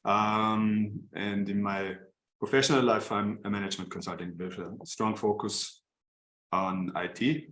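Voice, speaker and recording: A man with an Austrian accent introducing himself as chair on a video call, speaking in a halting way with many fillers. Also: on the low side, steady tone, a bit bright, echoey room. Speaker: chair of the association.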